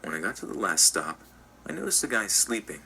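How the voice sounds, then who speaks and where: A man reading a scripted first-person story in an even, measured way. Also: on the low side, creaky, a little hiss or hum. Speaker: story narrator.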